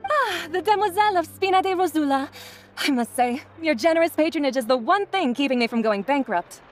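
A chatty polite shop lady in a game, high animated voice, bit of music behind. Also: fairly quick. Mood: deferential, pleasant. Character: deferential, chatty female tailor shopkeeper. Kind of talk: video game character acting.

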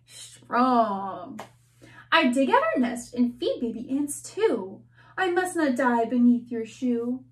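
A woman's voice: on the high side, very animated. A woman voicing a small pleading storybook ant. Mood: earnest. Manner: pleading, slow and sing-song rhyme. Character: small pleading male ant, brave and earnest.

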